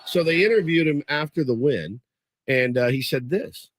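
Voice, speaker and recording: A man's voice: fairly high pitch, normal speed, background noise. A man hosting a sports radio talk show, casually telling a long story and acting out what people said. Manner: animated storyteller, acts out quotes.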